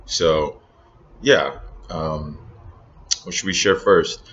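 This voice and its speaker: A young man from Nigeria with an American accent, talking reflectively in a casual personal vlog, pausing often with ums. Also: a bass voice, fairly bright tone, dull audio. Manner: reflective, many ums and pauses. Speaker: vlogger.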